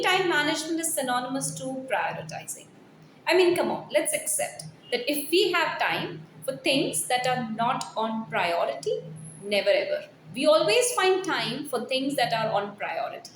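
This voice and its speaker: A woman, a corporate trainer reading a written piece aloud with personal asides in an emphatic, earnest, exhortative manner. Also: on the high side. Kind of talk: scripted blog read aloud with personal asides. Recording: roomy echo, faint background noise.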